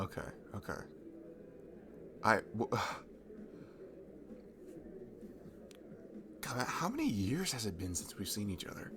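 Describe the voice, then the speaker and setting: A man's voice: fairly low pitch, background noise. A man playing a friendly, easily flustered college-age guy in a naturalistic ASMR roleplay, hesitant and self-deprecating. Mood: surprised, flustered. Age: young adult.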